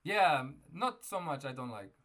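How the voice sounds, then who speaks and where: High, lively man playing innocent. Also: measured pace. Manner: playful, feigning innocent confusion. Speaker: video chat prankster host. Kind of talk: casual video chat. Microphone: webcam or phone mic on video chat.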